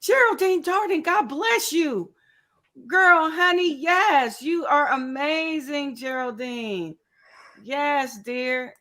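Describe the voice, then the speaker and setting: Slow-talking woman in her fifties. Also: sits high, bright, forceful tone. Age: 50s. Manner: chatty, greets viewers by name, laughs often. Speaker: crochet channel host. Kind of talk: casual livestream chat.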